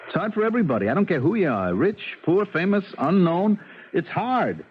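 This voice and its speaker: A man playing an earnest, troubled police officer, ruminating haltingly and repeating himself in a 1970s radio drama. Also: high voice, very animated, husky, full character voice. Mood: earnest, sympathetic. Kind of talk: 1970s radio drama acting, conversational monologue. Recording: muffled, slightly noisy old radio broadcast.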